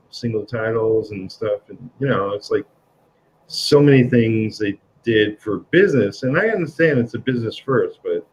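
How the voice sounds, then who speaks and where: Slow-talking New York man, lo-fi. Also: on the low side, a little hiss or hum. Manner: rambling, restarts often, laughs between remarks. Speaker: host of a comics livestream. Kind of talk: casual chat.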